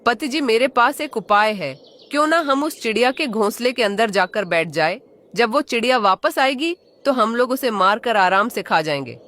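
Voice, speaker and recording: A woman's voice: a little high, animated, normal speed, a bit bright. A woman voicing a scheming, hungry female hawk, a demanding wife and mother, in a children's cartoon. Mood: eager, scheming. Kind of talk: Hindi children's cartoon dialogue.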